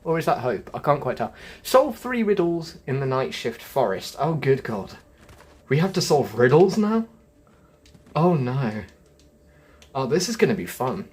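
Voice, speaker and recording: A man's voice: mid-range voice, very animated, a little slow, darkish tone, breathy edge. A young man hosting a video game walkthrough, chatty and jokey, talking straight to his viewers. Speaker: gaming video host.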